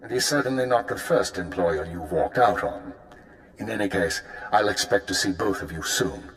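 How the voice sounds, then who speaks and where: A man voicing a commanding low-voiced spymaster. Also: close to an everyday voice. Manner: formal, commanding, measured. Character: brisk senior male intelligence handler. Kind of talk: video game cut-scene acting. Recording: roomy echo with faint noise.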